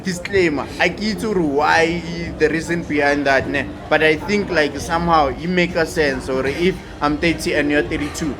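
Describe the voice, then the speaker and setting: A young South African man with a South African accent, co-hosting a podcast and arguing his point in lively, laughing, code-switching banter. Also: on the high side, moderate pace, few pauses. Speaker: podcast co-host.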